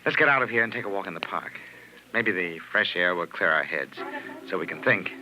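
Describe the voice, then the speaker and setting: An actor playing an evasive, tense husband torn between two women, brusque and dramatic in 1940s radio style. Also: male voice, low-pitched, animated, brisk, low-quality recording. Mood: uneasy. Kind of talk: 1940s radio melodrama acting.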